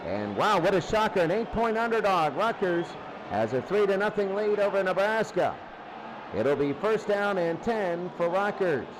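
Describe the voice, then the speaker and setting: A man's voice: high voice, background noise. A man doing live radio play-by-play of American football, repeating down and distance in short bursts. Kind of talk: live sports radio commentary.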